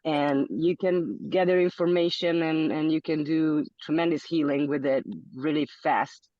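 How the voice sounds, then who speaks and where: A woman's voice: sits low, fairly even intonation, a little slow, dry recording, muffled recording. A woman from Hungary, a podcast guest in casual interview talk, searching for words and repeating them as she thinks.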